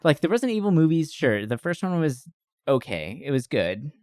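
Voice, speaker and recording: A man's voice: on the high side, sing-song, a little fast, a little mellow, no echo, clean studio sound. A man co-hosting a podcast in an enthusiastic, informal chat, swearing casually. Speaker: podcast co-host. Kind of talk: casual chat about movies.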